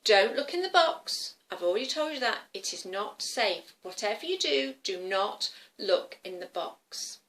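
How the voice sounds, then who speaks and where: A woman voicing a stern, watchful grandmother in a children's story, giving slow, emphatic warnings. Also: mid-range voice, expressive, a bit bright.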